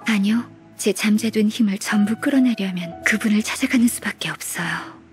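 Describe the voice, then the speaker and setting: Woman as dutiful young heroine, composed, courteous, gentle, measured, mid-range voice, video game, light music behind. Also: mid-range voice, natural voice. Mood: calm, earnest. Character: polite, earnest half-beast young woman, composed and dutiful.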